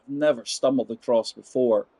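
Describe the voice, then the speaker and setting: A man's voice: mid-range voice, steady tone, moderate pace, mellow, husky, dry recording. A man in his forties giving chatty live commentary on a golf game, talking straight to his viewers. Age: 40s. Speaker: game streamer.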